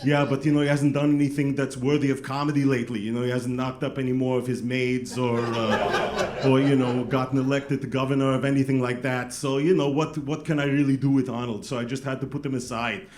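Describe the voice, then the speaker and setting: A man's voice: mid-range voice, level tone, a little fast. A middle-aged man doing a stand-up set, talking to the crowd in a dry, self-deprecating, chatty way. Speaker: stand-up comedian. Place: comedy club stage with a laughing audience. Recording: roomy club sound with loud background.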